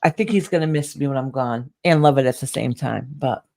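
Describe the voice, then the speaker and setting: A woman's voice: a bass voice, a little fast, creaky, dark tone, a little hiss or hum. A woman in her late forties co-hosting a casual chat, telling stories with frequent laughter. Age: 40s. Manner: laughing, chatty storyteller. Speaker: podcast co-host.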